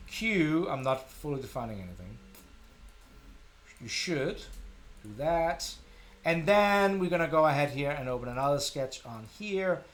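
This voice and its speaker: A middle-aged man with a Danish accent speaks in a slightly high voice. He hosts a live software tutorial in a casual, informal style, thinking aloud as he works. His manner is self-deprecating. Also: very animated, measured pace, long pauses. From Denmark. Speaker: tutorial live stream host.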